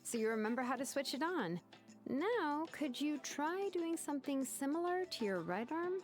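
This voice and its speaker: Breezy young tinkerer girl in a game, analytical n chatty, high voice, bit of music behind. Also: animated, moderate pace. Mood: thoughtful. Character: breezy, curious young female inventor tinkerer.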